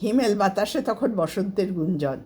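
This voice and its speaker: A woman reading a scripted first-person story aloud as its storyteller, with an expressive delivery. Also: mid-range voice, normal speed, husky. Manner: expressive, acts out quoted dialogue.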